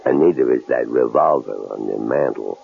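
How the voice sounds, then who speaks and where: An actor playing a menacing, mocking killer in a radio drama, with a gravelly voice and a taunting, needling way of talking. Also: male voice, rumbling low, moderate pace, heavily acted. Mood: cool, menacing. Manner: taunting, folksy, needling questions. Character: menacing male killer intruder, mocking, coolly philosophical, tough-talking. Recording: tinny, noisy old radio recording.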